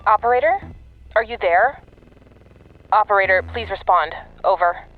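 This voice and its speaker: An actress playing a cynical, impatient veteran government agent in an audio drama, clipped and commanding, heard through a radio communicator. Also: female voice, mid-range voice, expressive, normal speed, phone-quality sound. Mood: urgent. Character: brusque, cynical, foul-mouthed government field agent woman, impatient veteran. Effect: radio communicator filter. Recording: band-limited radio link, music underneath.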